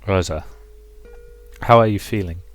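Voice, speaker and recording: A man voicing a reserved, consoling gentleman friend in a visual novel, speaking gently and haltingly. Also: deep voice. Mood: gentle, concerned. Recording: music behind the voice.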